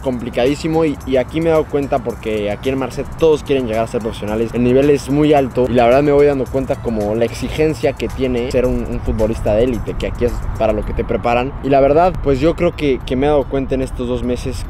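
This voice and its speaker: Fast-talking Mexican young man outdoors. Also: medium pitch, mellow, faint music behind. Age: young adult. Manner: fast, chatty, laughs easily. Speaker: YouTuber and goalkeeper. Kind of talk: casual chat. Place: outdoor terrace by a lawn.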